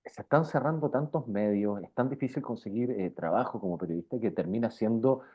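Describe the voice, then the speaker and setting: A man's voice: lowish voice, brisk, slightly rough. A Chilean man, middle-aged, speaking informally and with slang in a casual interview, often circling back over his phrases. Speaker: interviewed journalist. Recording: thin, phone-like band-limited sound.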